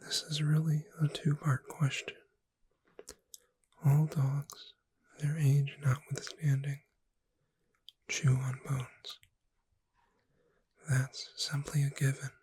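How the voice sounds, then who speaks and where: A woman whispering in a soothing, deliberate ASMR style, reading aloud to lull a listener to sleep. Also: very deep voice, completely flat delivery, mellow, breathy edge, poor audio. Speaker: ASMR performer.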